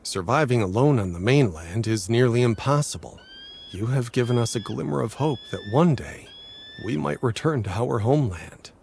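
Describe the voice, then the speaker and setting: An actor playing a cautious, solemn werewolf leader in a game cutscene, with a husky voice and a measured, guarded delivery. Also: male voice, mid-range voice, lively intonation, a little mellow, a little hiss or hum. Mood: solemn, reassuring. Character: cautious, solemn male werewolf leader sheltering his people. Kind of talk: dramatic game cutscene acting.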